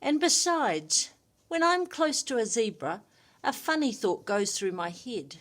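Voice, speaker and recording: A woman voicing a small, gentle young male lion in a storybook, earnest and loving. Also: on the high side, sing-song, measured pace, voice pushed up, exaggerated voice. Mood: earnest, bewildered. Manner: bewildered, pleading.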